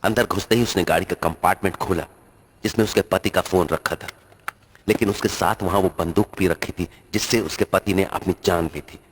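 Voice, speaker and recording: A man's voice: low voice, fairly even intonation, brisk, noisy background. A man narrating a scripted horror story.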